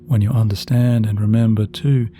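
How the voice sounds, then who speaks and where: Man, low pitch, very dark soft tone, slow soothing meditation narration, gentle measured delivery, relaxing music behind. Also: steady tone. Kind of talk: sleep hypnosis meditation, scripted narration. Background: relaxing background music.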